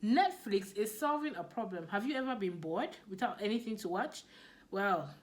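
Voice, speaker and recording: A woman's voice: mid-range voice, lively intonation, normal speed. A woman with a Nigerian accent giving a motivational business talk to camera, emphatic and repeating her key points. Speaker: business coach.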